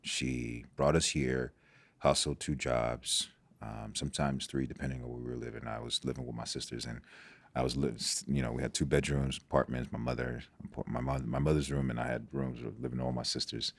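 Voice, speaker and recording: Very deep, gravelly Nigerian-born man. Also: lively intonation, fairly quick, dry recording. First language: Igbo. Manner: reflective, with many restarts and repeated words. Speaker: guest. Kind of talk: casual interview talk.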